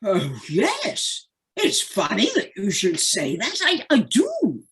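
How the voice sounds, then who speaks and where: An actor playing a nervous, gullible, excitable toad who stammers in a children's audio play. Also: medium pitch, slow pace, slightly rough, heavily acted. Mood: flustered, keen. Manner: flustered, stammering. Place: living room at home, recorded over video call.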